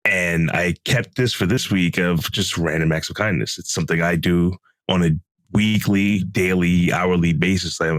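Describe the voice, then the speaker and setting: A man co-hosting a podcast, telling stories in a relaxed, deep, calm voice with lots of fillers, recorded at home. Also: no echo. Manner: relaxed storytelling with many fillers. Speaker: podcast co-host. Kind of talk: casual podcast chat. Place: home closet room.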